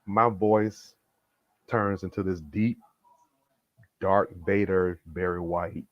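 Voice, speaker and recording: Throat-clearing male co-host with congested voice. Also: low-pitched, slow, dry recording, dull audio. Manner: animated storyteller, clears throat often. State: voice deepened from a sinus infection, clearing up. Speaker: podcast co-host. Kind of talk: casual chat.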